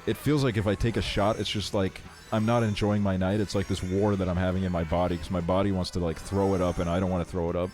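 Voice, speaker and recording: A man with a fairly low-pitched voice chats casually as he livestreams a game. His talk is slangy and full of swearing. Soft music plays behind him. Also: little pitch movement, fast talker, hardly any pauses. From Estonia. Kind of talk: casual chat while streaming a video game.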